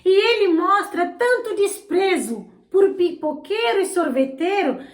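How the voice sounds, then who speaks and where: A woman's voice: very high-pitched, animated, unhurried. A woman who speaks Portuguese as a learned language, hosting her channel with indignant, sarcastic political commentary. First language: not Portuguese. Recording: roomy echo.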